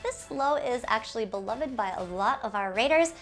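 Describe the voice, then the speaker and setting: A woman hosting a game community video series, speaking to viewers in an animated, giggly, presenter's way. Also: a little high, moderate pace, faint music behind. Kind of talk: upbeat scripted video presenting with ad-libbed asides.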